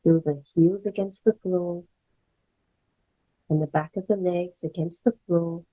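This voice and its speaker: A woman guiding a meditation as a yoga instructor, speaking in gentle, measured phrases with pauses. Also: on the low side, fairly even intonation, rough recording. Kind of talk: guided meditation, slow soothing instruction.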